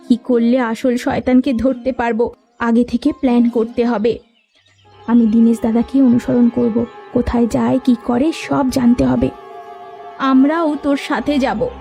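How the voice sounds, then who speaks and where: Woman acting a high, soft-toned determined cartoon girl. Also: gravelly. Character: bold, determined young village girl and her sisters. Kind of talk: cartoon story dubbing. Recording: music behind the voice. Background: background music.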